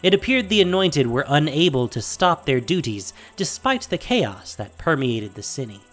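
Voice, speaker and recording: A man's voice: a little high, very animated, moderate pace, fairly bright tone, faint music behind. A man narrating a scripted fantasy audio drama in a dramatic storytelling style.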